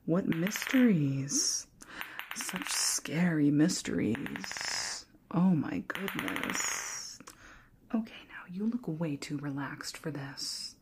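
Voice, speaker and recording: A woman playing a shy, hapless young female ghost in an ASMR roleplay, speaking softly and often whispering, with theatrically spooky teasing. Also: low-pitched, very animated, takes it slowly, background noise, exaggerated voice. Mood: playfully spooky. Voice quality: soft, breathy, often whispered.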